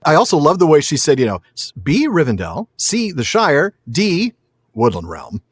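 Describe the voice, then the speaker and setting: Male host, mid-pitched and very animated, casual chat with emphatic points, dry recording. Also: moderate pace, slightly rough, no room sound. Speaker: podcast host.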